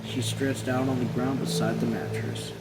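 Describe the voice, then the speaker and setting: A man reading a novel aloud as an amateur, haltingly, often rereading and correcting himself. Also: medium pitch, level tone, very noisy. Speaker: amateur reader.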